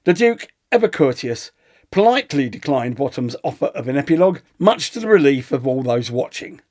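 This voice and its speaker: A man's voice: medium pitch, big pitch swings, fairly quick, darkish tone. A man playing a pompous, withering theatre critic, reading a scripted comic review in an arch, theatrical manner. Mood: arch disdain.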